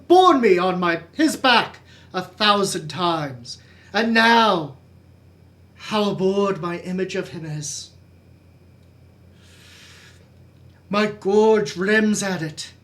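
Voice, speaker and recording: A brooding, anguished young prince acted out by a poetry reader delivers a stage soliloquy very slowly. The delivery is theatrical and halting, swelling and fading around a middling pitch. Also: a bit bright. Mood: grieving, impassioned.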